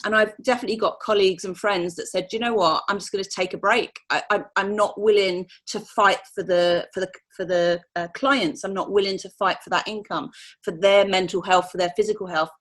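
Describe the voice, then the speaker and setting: A woman business mentor giving candid, energetic answers in an interview, often saying "you know". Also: medium pitch, a little fast.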